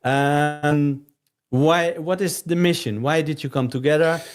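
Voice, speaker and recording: A man's voice: a little high, steady tone, measured pace, smooth. Man prompting an interview guest. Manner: prompting questions, frequent "yeah". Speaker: interview host. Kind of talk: conducting an interview.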